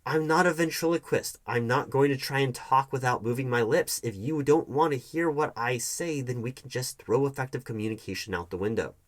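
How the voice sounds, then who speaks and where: A man playing a defensive, evasive hiring manager arguing with his ex in a one-sided audio roleplay, halting and repeating his words. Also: medium pitch, close to an everyday voice. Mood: defensive, exasperated. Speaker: roleplay performer.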